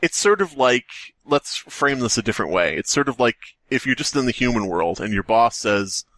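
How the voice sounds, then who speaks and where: A male podcast co-host talks casually in a dry, close space, his pitch moving around in an animated way. He thinks aloud as he goes, rambling and joking through his points about a story. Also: moderate pace, dry recording.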